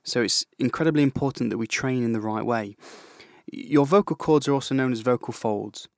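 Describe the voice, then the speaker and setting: A man hosting his own podcast as a vocal coach, explaining things calmly with frequent fillers and restarts. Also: medium pitch, brisk, creaky, dry recording, clean studio sound. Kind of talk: solo educational podcast talk.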